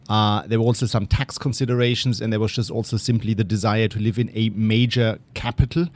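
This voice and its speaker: German-accented man telling stories, low voice. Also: darkish tone. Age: middle-aged. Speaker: guest investor. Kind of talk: conversational interview answers.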